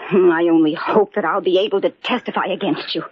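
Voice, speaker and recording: An actress playing a bitter, scornful society sweetheart in an old-time radio mystery. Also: female voice, on the low side, fairly even intonation, brisk, lightly acted. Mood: cold, vindictive. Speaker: actor. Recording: muffled, noisy old radio drama recording.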